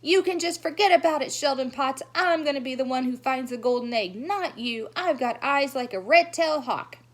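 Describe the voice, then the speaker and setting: A woman's voice: on the high side, lively intonation, brisk, voice pushed up, close to an everyday voice. A know-it-all little girl from a storybook, scolding in a raised, pushy voice, as a woman acts her out. She states everything as fact. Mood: bossy. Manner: scolding, sharp.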